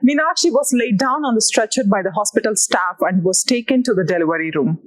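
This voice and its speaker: A woman with an Indian accent narrates a novel from a written text. Her voice sits at an average pitch for a woman. She reads as the author, in deliberate phrases that are set apart from one another. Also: expressive, poor audio. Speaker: author reading own novel. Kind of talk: scripted narration, reading a novel aloud.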